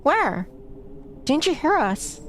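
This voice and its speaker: A woman's voice: high-pitched, big pitch swings, takes it slowly, slight background noise. A woman streamer reading a visual novel aloud, with casual, chatty asides between passages. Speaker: VTuber streamer. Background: background music.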